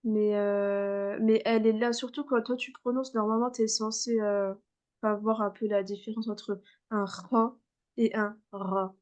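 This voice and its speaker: A woman's voice: mid-range voice, level tone, unhurried, a little mellow. A woman teaching a beginner class over a video call, patiently repeating sounds for her students, with frequent fillers. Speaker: Arabic reading teacher.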